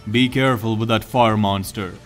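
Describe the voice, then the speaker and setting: A man's voice: lowish voice, fairly even intonation, normal speed, soft background music, close to an everyday voice. A man playing a grave, battle-worn samurai hero with an earnest, warning manner in a fan audio drama.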